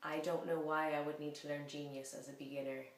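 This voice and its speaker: Woman vlogger, low voice, rambling and playful, roomy echo on the recording. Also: flat delivery, a little fast. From half French, French mother. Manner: rambling, self-correcting, chatty and playful. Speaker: vlogger learning a language. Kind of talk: casual chatty vlog.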